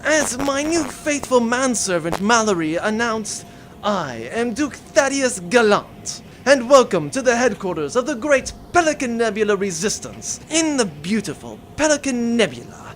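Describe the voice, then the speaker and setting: A man's voice: very high voice, sing-song, normal speed, a bit bright, slight background noise, exaggerated voice. A man playing a courtly young duke who leads space rebels, speaking formally and genteelly in a comedic audio drama. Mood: formal welcome.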